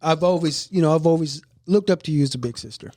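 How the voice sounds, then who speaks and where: A man's voice: a little high, fast, a little mellow, no echo. A middle-aged man hosting a family podcast, chatting casually and rambling with many restarts. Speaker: podcast host.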